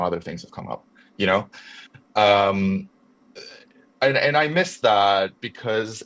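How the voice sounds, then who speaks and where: Deep-voiced reflective man, Canadian. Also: fairly bright tone. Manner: reflective, with frequent restarts. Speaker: podcast guest. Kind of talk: casual conversation.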